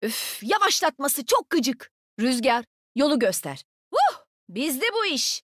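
Casting: nimble young female game agent. Bright-spirited taunter, very animated delivery, sassy and sure of herself, dry close studio sound. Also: high-pitched, slow, no room sound, exaggerated voice. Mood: playful, bossy. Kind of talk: video game combat voicelines.

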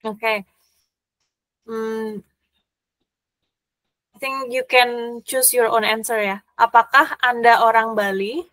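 A young woman with an Indonesian accent tutoring an online language lesson over a video call, gentle and a little hesitant. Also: on the high side, steady tone, slow, long pauses, no echo. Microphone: laptop video call.

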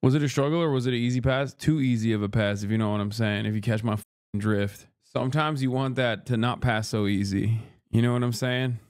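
A man's voice: medium pitch, a little fast, a little mellow, smooth. A man in his thirties, from Turkey, chatting casually and profanely on a livestream while eating, close to a studio microphone in a small room. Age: 30s. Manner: brash, profane, joking, talks with food in mouth. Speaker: streamer. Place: small wood-paneled room, indoors. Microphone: studio mic on boom arm close to face.

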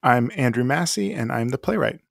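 A man's voice: mid-range voice, lively intonation, brisk, creaky, no echo, studio quality. A man, a playwright guest, talking casually and thoughtfully in an interview.

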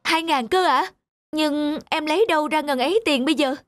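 Meek, high-voiced young peasant mother, voiced by an actress. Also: normal speed, soft background music, lightly acted. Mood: anxious. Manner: polite, deferential. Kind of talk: animated folk-tale dialogue.

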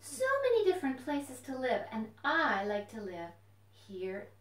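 A woman's voice: a little high, sing-song, unhurried. A woman hosting a children's storytime, speaking to young children in simple phrases with questions and encouragement. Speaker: children's librarian, storytime host. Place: indoors at home. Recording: echoey room, distant mic.

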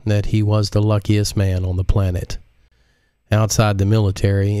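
A man reading his own novel aloud in a relaxed live audiobook stream, with chatty asides. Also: low voice, no room sound, studio quality.

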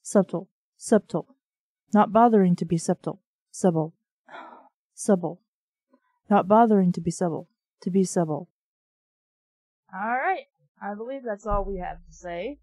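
A woman's voice: mid-range voice, measured pace, pauses often, warm tone, no echo. A woman bantering casually and reading scripts aloud with a group on a voice call. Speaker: member of a voice-acting group doing readings.

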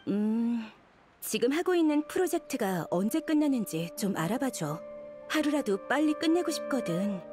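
A woman's voice: a little high, big pitch swings, normal speed. A woman playing a troubled, considerate young woman in video game dialogue, with a polite manner. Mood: uneasy. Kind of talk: Korean video game voice acting. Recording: loud music under the voice. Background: music.

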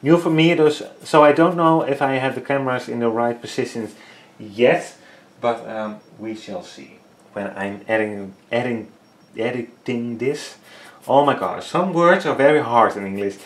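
A man's voice: mid-range voice, moderate pace. Dutch-accented man with hesitant English. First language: Dutch and Frisian. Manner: hesitant, many fillers, searching for English words. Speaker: painting tutorial host. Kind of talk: casual studio vlog while painting. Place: small home art studio, indoors. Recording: echoey room.

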